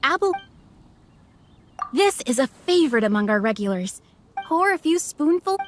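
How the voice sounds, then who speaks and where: A woman's voice: high voice, sing-song, moderate pace, a little hiss or hum. A woman voicing a polite, eager young restaurant waitress in a video game. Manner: polite, service-minded. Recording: game music behind.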